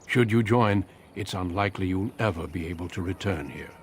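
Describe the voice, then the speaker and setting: A man playing a seasoned, steady warrior recruiter in a fantasy game, speaking in a measured, formal and courteous manner. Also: low voice, slight background noise, natural voice. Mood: firm. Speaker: Grey Warden recruiter. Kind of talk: video game cutscene acting.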